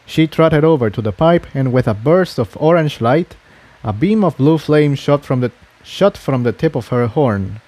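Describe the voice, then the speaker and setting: A man's voice: mid-range voice, expressive, normal speed, a little mellow, no room sound. A man reading a scripted adventure story aloud as narrator. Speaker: fan fiction narrator.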